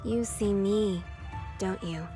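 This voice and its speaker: A woman playing a cold, composed and resigned young antagonist in a video game, speaking in a measured, curt way. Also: medium pitch. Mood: resigned, grave. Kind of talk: scripted video game voice acting. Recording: game music clearly behind the voice.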